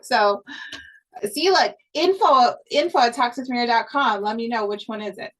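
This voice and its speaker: A woman's voice: a little high, moderate pace, fairly bright tone, rough recording. An upbeat woman from Hawaii talks through a podcast sales lesson in a loose, chatty way. She repeats her key points and drops casual swears as she goes. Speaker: podcast coach. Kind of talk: casual online course talk.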